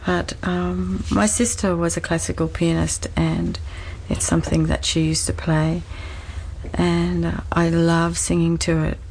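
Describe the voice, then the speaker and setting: A woman sharing slowly and hesitantly in a women's circle. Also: on the low side, flat delivery, gravelly, darkish tone, slight background noise, low-quality recording. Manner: slow, hesitant. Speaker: group member.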